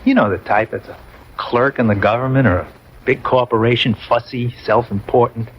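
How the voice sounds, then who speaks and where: An actor in an old radio drama playing a brash, restless young soldier back from the dead, speaking in slangy, insistent style. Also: male voice, medium pitch, fairly even intonation, moderate pace, close to an everyday voice. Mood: haunted, intense. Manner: slangy, insistent, emphatic storytelling. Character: dead soldier Paul, brash restless young man, fast-talking charmer. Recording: dull, noisy old radio recording.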